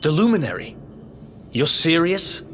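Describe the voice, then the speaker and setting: Lively, streetwise dungeon prisoner. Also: male voice, fairly high pitch, a little slow, soft background music. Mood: astonished, urgent. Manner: colloquial, wry. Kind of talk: video game voice acting.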